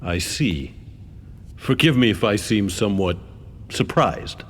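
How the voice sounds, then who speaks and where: A man's voice: on the low side, expressive, takes it slowly. A man playing a formal, probing senior official who questions a witness with stiff politeness in a video game cutscene. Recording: reverberant hall, faint background noise.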